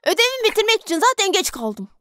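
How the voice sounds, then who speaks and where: A performer voicing a bossy, impatient young schoolboy, an older brother, in an animated children's cartoon. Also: very high-pitched, quick pace, no room sound, studio quality, heavily acted. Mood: exasperated. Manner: exasperated, commanding, sighing.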